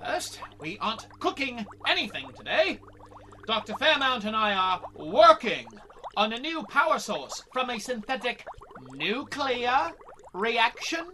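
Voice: mid-range voice, big pitch swings, a little slow, bright, forceful tone, slight background noise. A performer playing an irritable, condescending physics professor in a radio comedy drama. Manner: stern, exasperated, condescending. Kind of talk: audio drama acting.

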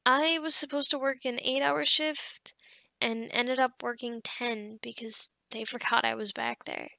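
A woman acting a kind, encouraging office boss in an ASMR roleplay, speaking softly and conversationally with hesitant pauses and sighs. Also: on the high side, fairly even intonation, vocal fry, no echo, exaggerated voice. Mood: venting.

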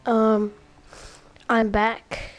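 A young woman who is sick, coughing and sniffling, giving casual gameplay commentary with hesitant pauses and many fillers. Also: a little high, completely flat delivery. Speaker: gaming YouTuber.

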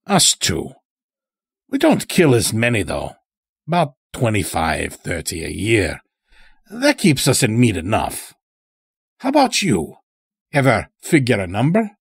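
Male narrator as old rustic elder, needling, chatty, swooping intonation, unhurried, audio story. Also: on the low side, huge pitch swings, creaky, husky, no room sound, clean studio sound, full character voice. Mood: sly, chatty. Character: folksy, sly, taunting weary old elder. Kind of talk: dramatic audio story character.

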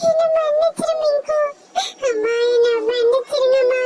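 A woman's voice: extremely high pitch, normal speed, dark tone, faint music behind. A woman playing a tiny scared sparrow chick. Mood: tearful. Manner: scared, tearful pleading. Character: frightened disobedient little girl sparrow chick. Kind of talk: cartoon voice acting.

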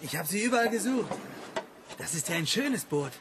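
A man's voice: high voice, expressive, moderate pace, background noise. An actor playing an earnest, insistent and inquisitive young man in radio drama dialogue. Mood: curious, polite.

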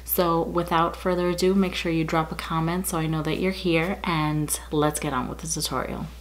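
A woman in her thirties doing a casual makeup tutorial, her voice raspy and a bit muffled from a cold, chatting through each product with frequent ums. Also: low voice, little pitch movement, moderate pace, slight background noise. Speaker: beauty vlogger. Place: bedroom with window blinds, indoors.

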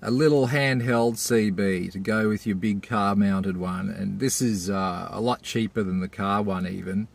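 A man's voice: on the low side, fairly even intonation, a little slow, gravelly, hardly any pauses. A man in his forties with an Australian accent, talking casually and unscripted as a laid-back, colloquial vlogger. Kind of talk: casual, unscripted vlog talk.

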